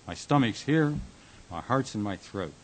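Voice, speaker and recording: An actor playing a tormented, haunted man in a radio drama, speaking in a brooding, halting, intense way. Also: fairly low pitch, expressive, rough recording. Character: Jack, a tormented man haunted by falling dreams. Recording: hissy amateur recording.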